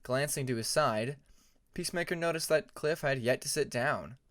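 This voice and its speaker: A man reading a fantasy story aloud as scripted narration, in a measured storybook manner. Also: mid-range voice, a bit bright. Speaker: fan fiction audiobook narrator.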